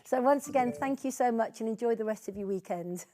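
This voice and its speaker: A woman's voice: on the high side, fairly quick, mellow. A woman hosting an online sales training, speaking in an encouraging, conversational and informal way. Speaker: host and trainer of sales team meeting. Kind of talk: informal training presentation over video call.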